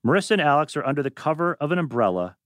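A man's voice: fairly high pitch, very animated, rapid-fire, a bit bright, no echo, clean studio sound. A man reading stage directions as scripted narration at a table read.

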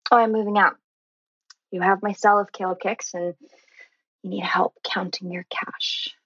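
A woman playing a fed-up, sardonic teenage girl in a drama table read, with a husky, creaky voice. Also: mid-range voice, fairly even intonation, a little slow, a bit bright, no echo, lo-fi sound. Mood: bitter, fed up.

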